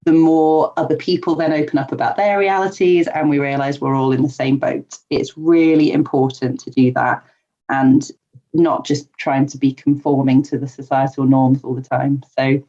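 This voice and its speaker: A woman's voice: extremely low pitch, fairly even intonation, moderate pace. Earnest woman guest on a muffled line. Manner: warm, earnest, frequent laughs and ums. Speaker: podcast guest, life coach. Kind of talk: casual interview answers. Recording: dull lo-fi remote audio.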